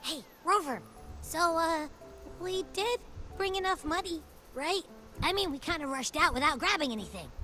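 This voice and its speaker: Small game creature sidekick, high voice, wildly swooping pitch, cheeky, greedy, excitable. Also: female voice, moderate pace, soft background music, full character voice. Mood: excited, greedy. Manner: playful, expressive, whiny when put out. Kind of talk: video game voice acting.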